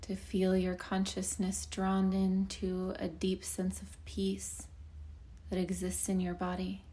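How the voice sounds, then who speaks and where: A woman leading a guided meditation in a slow, soothing delivery, with long pauses and softly breathy phrase endings. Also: mid-range voice, monotone.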